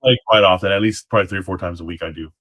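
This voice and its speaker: A man's voice: low voice, very fast, a bit bright, dry recording, studio quality. A man speaking as a guest in casual conversation, polite and informal. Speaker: podcast guest, former guard. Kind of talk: casual chat.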